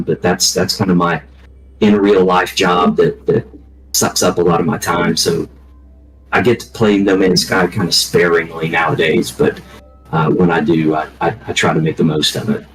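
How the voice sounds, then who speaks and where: A man's voice: sits low, low-quality recording. A man from Alabama with a thick Southern accent, chatting easygoingly as an interview guest and padding his speech with "uh" fillers. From Alabama, southern United States. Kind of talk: casual interview chat.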